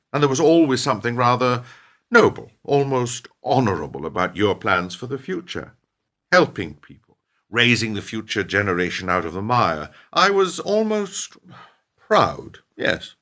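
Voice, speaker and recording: Ruthless middle-aged businessman for radio play, male actor, smug and speechifying, very animated delivery, sharp-edged tone. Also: medium pitch, moderate pace. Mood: smug, lecturing. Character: ruthless, self-justifying businessman betraying his lifelong partner.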